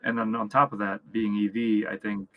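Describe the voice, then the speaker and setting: A man's voice: lowish voice, flat delivery, narrow, tinny audio, slight background noise. A man from Vietnam and upstate New York giving conversational interview answers as a company founder, restarting often and checking in with "right?". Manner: halting, repeats words, often checks in with "right?". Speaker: company founder and chief executive, interview guest.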